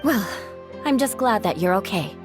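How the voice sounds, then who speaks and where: A woman playing a composed, caring young knight in a video game, speaking in a measured, formal, serious manner. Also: mid-range voice, expressive, natural voice. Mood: concerned. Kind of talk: video game cutscene voice acting. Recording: music behind the voice.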